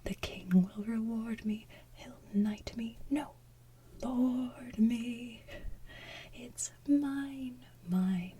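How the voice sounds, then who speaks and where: A woman hosting ASMR in a hushed, breathy, playful way, moving between whispered chat and soft singing. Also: fairly high pitch, extremely slow, a little hiss or hum. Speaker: ASMR channel host.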